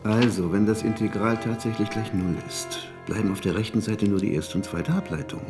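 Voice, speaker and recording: A man's voice: on the low side, fairly even intonation, brisk, over music, natural voice. An actor playing a harried, irritable male mathematician in a German radio drama, given to exasperated muttering and lecturing. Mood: absorbed.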